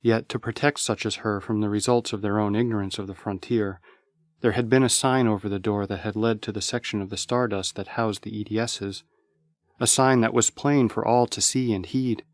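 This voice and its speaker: A man's voice: lowish voice, fairly even intonation, normal speed, dry recording. A man reading scripted story narration.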